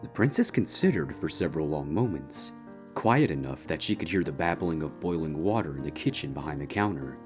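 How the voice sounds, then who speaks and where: A male narrator with a deep voice reads a scripted story. Quiet instrumental music sits behind the narration. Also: animated, fairly quick. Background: soft instrumental guitar music.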